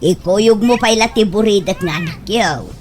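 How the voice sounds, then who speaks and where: An actress playing a frank, scolding elder sister in an Ilocano comedy radio drama. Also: female voice, medium pitch, moderate pace, slight background noise, lightly acted. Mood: firm, advising.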